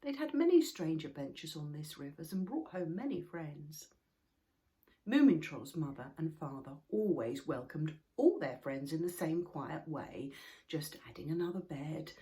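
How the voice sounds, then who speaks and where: A woman reading a children's book aloud in an expressive way, sometimes dropping to a whisper. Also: lowish voice. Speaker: storybook reader.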